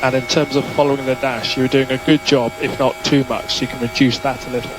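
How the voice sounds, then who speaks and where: A man's voice: mid-range voice, flat delivery. Clipped male race engineer on noisy radio. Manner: clipped, measured, repeats instructions. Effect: team radio. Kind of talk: instructional radio messages to a racing driver. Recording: noisy motor racing team radio.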